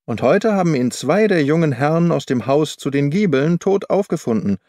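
A man's voice: on the high side, animated, moderate pace, warm tone, no room sound, clean studio sound. A man voicing an old, gossipy, sorrowful housekeeper woman in an audiobook. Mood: sorrowful gossip. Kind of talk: audiobook character voice.

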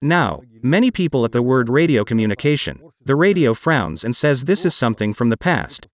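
Middle-aged male reviewer, Russian accent, animated pitch movement, explanatory delivery to camera. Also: fairly quick. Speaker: host of a radio review channel.

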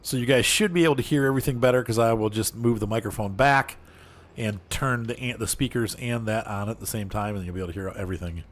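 Man, lowish voice, relaxed rambling gamer chat, chuckles between thoughts. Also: fast. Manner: rambling, easygoing, chatty with viewers, laughs often. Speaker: streamer playing a farming game. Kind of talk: casual gameplay chat.

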